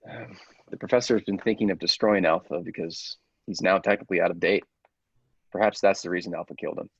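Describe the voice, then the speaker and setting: A man playing a grave, persuasive scientist's assistant in a radio play, deliberate and theatrical, with secretive zeal. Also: low-pitched, fairly even intonation, gravelly, husky, no echo, dull audio, heavily acted. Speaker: podcast actor.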